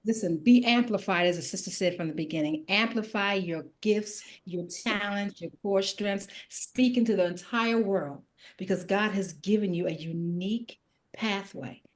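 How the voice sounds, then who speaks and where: A woman's voice: medium pitch, moderate pace. A woman guest on a video call speaking earnestly and encouragingly, ending with blessings. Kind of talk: heartfelt testimony on a video call.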